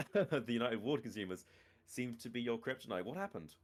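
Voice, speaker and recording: A man's voice: medium pitch, expressive, normal speed. A man casting an esports tournament who interviews a player in a chatty, self-deprecating, joking way.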